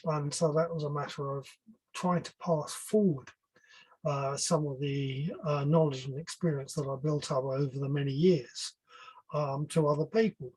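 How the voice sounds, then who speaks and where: An older man with a somewhat husky voice gives an informal expert talk. He speaks haltingly, repeating and rephrasing as he explains, in the manner of a guest on an online webinar. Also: on the high side, fairly even intonation, darkish tone, rough recording. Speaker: guest speaker, loudspeaker engineer. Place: online video call.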